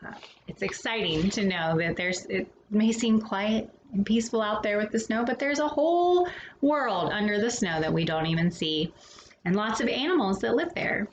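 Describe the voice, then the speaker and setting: A woman reading a children's picture book aloud as a storytime host, with expressive phrasing and hushed, drawn-out endings. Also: medium pitch, muffled recording. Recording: roomy echo with faint background noise.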